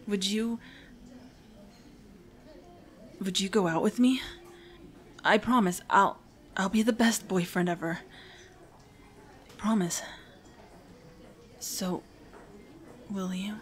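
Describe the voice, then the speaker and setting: A nervous, lovestruck teenage boy from an anime ASMR roleplay, voiced by a woman. He talks slowly and shyly, hesitating and stammering over his words. The delivery is mumbled and unsure, at a middling pitch for a female voice. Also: natural voice. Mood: earnest, nervous.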